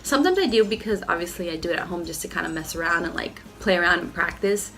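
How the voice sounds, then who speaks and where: Nasal, rough-voiced woman with a cold. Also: lowish voice, animated, fast, a bit bright, rough recording. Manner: chatty, lots of "like" and "you know". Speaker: beauty vlogger. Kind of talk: casual makeup tutorial. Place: home.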